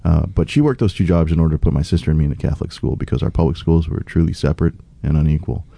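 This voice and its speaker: Deep, gravelly-voiced man. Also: fairly even intonation, rapid-fire, warm tone, dry recording. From United States. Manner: earnest, with frequent fillers and restarts. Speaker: political candidate guest. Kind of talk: conversational interview.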